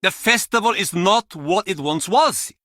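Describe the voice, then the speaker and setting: A man's voice: high voice, lively intonation, unhurried, sharp tone, dry recording, clean studio sound, full character voice. A man playing a bitter, cranky ex-sailor in a video game, with a brusque, mocking, theatrical manner. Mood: theatrical, defiant. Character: gruff, bitter Icelandic ex-sailor, cranky menacing male pub regular. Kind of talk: video game character acting.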